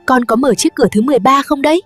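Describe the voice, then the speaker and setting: A woman's voice: sits high, racing, fairly bright tone, dry recording, soft background music. A woman voicing a regal heavenly mother in a storybook, caring but stern, with a solemn, commanding manner. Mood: stern questioning.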